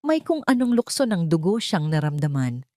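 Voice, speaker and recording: A woman's voice: fairly low pitch, sing-song, moderate pace, darkish tone, no echo, studio quality. A woman reading a scripted romantic story aloud as a dramatic narrator.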